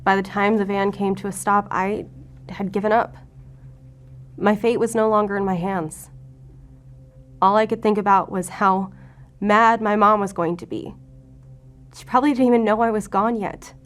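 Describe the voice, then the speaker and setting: A woman's voice: mid-range voice, steady tone, moderate pace, pauses often, close to an everyday voice. An actress playing a wounded, guarded female FBI agent who talks with sardonic defiance in an audio drama. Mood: bleak, pained. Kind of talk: audio drama acting, confessional.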